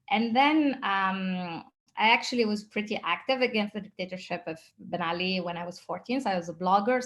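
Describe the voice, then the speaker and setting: A woman in her thirties from Tunisia with a Tunisian accent, an interview guest telling her personal story informally. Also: medium pitch. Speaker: startup founder, interview guest.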